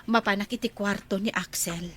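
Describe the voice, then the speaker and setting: A woman's voice: medium pitch, fairly even intonation, moderate pace, fairly bright tone, a little hiss or hum, natural voice. An actress playing a polite, caring young woman working in a household in a radio drama.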